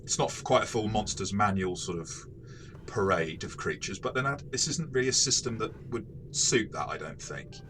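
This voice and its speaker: A man co-hosting a casual game review discussion, thoughtful and halting, often rephrasing mid-sentence. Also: lowish voice, lively intonation, fairly bright tone, a little hiss or hum. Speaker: co-host of a game review show.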